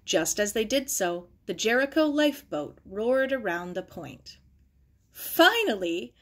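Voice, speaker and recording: A woman's voice: medium pitch, expressive, measured pace. Woman reading a children's story aloud. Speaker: audiobook reader. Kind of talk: scripted story narration, children's novel.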